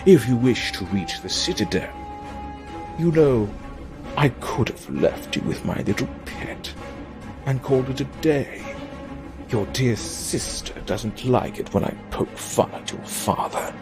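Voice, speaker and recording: A man playing a vengeful, taunting villain in an audio drama, with a mocking, drawn-out theatrical delivery. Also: mid-range voice. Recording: music under the voice.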